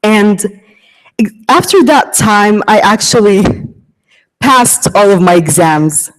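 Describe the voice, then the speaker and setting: A teenage student speaks very slowly and haltingly, restarting her phrases with nervous laughs. Her voice carries a long hall reverb from the auditorium. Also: mid-range voice, expressive. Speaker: student panelist. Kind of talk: personal story on stage. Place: large auditorium stage.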